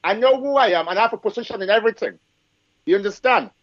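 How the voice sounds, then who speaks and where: Man, forties, from Africa, high voice, repeats points and keeps asking "okay?", dull recording. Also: expressive, fast talker, a bit bright, dry recording. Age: 40s. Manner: emphatic, repetitive, rhetorical checks like okay and yes. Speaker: guest speaker. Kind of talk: live discussion.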